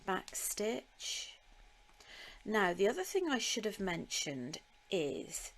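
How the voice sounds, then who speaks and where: A woman giving a live beading tutorial, chatting with her viewers, laughing now and then and filling pauses with ums while she works. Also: mid-range voice. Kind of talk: live-stream craft tutorial.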